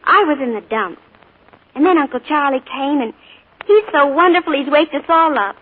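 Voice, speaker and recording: An actress playing an earnest, adoring young niece in a 1940s radio drama, on a tinny broadcast with faint hiss. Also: female voice, high voice, animated, normal speed.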